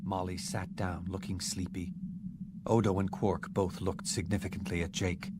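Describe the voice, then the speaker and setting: A man narrating an audiobook in a measured, even storytelling manner. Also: low-pitched, heavy background noise. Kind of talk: scripted narration. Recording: narration with background music.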